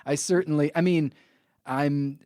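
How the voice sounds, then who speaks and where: A man's voice: fairly high pitch, fairly even intonation, measured pace, warm tone, no echo, clean studio sound. A man in his late thirties hosting a podcast in a casual, chatty interview style. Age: late 30s. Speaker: podcast host.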